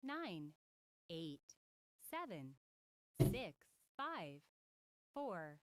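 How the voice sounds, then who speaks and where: A woman's recorded voice counting down seconds as a game timer, calm and measured. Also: fairly low pitch, background noise.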